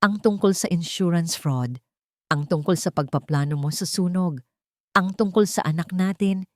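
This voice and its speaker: A woman's voice: fairly low pitch, moderate pace, darkish tone, no room sound, close to an everyday voice. A wronged Filipino wife from an audiobook melodrama, played by a woman narrator. She is composed, fearless and defiant, heard in a dry, close sound.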